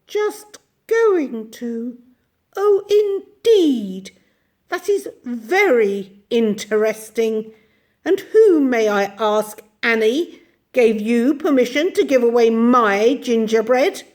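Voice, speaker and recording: Squeaky shrill little old lady, bossy storybook shopkeeper, way up high and bouncing all over, loud. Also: expressive, measured pace. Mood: menacing. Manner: swings between chatty sweetness and soft menace. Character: tiny ancient shrill old woman shopkeeper, bossy and fickle.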